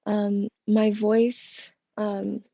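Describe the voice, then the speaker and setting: Young woman tarot reader, worn voice, unhurried rambling chat, gravelly edge. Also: medium pitch, monotone, mellow, no echo, muffled recording. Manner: rambling, many fillers and restarts. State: voice strained and worn. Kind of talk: casual livestream chat. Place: home room with coffee machine. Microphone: earbud headset mic.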